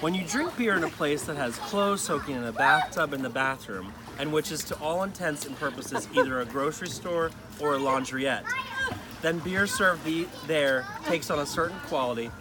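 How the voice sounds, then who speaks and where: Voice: medium pitch, expressive, normal speed, background noise. Someone reading a humorous letter aloud to family, amused and often breaking into laughter. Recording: loud crowd chatter, outdoor family gathering. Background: people chatting and laughing.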